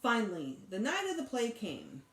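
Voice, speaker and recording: A woman reading a children's story aloud in an expressive storytelling style, like a teacher. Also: on the high side, normal speed. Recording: roomy echo.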